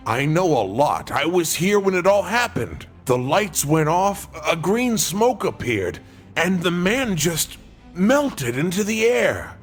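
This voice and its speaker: A spooked, superstitious night guard from a mystery game. His voice is fairly high for a man and very animated, insistent and ominous, over background music. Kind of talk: video game cutscene acting.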